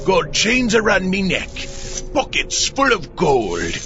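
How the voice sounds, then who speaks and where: Swaggering, swooping pirate captain. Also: male voice, sits high, wildly swooping pitch, slow, exaggerated voice. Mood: boastful. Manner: pirate talk, exclaiming. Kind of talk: comedic audio drama. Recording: dull lo-fi, music underneath.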